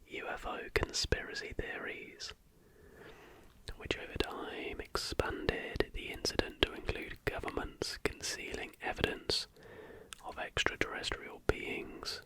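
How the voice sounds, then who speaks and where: Slow, hushed ASMR whisper. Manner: whispered, slow, unhurried delivery. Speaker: host of an ASMR channel. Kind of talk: ASMR, softly whispered reading of facts with casual asides.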